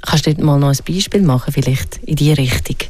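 A woman's voice: low-pitched, fairly quick, a little mellow, dry recording. A woman interviewing, prompting for examples and summing up the guest's points. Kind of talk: conversational interview.

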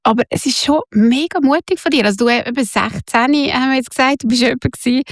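A woman's voice: high voice, quick pace, no room sound, clean studio sound. A woman in her thirties with a Swiss German accent, hosting and asking empathetic questions. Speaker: host. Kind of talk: podcast interview.

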